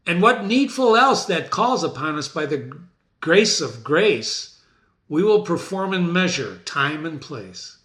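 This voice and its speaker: A man's voice: fairly high pitch, very animated, takes it slowly, fairly bright tone, heavily acted. A man playing a gracious, resolute new king in a formal, oratorical Shakespeare reading. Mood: stately resolve. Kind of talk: dramatic reading of a Shakespeare play. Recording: echoey room.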